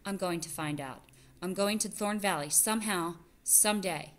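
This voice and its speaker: A woman's voice: fairly low pitch, normal speed, lightly acted. A woman voicing an eager, bold young boy mouse in a storybook, speaking insistently. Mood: determined.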